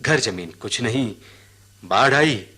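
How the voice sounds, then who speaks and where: A poor, simple elephant-catcher in a radio play, pours out his troubles. His voice is husky and lively in pitch. He is plaintive and halting, circling back over his phrases. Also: full character voice. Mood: sorrowful. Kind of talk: radio drama acting.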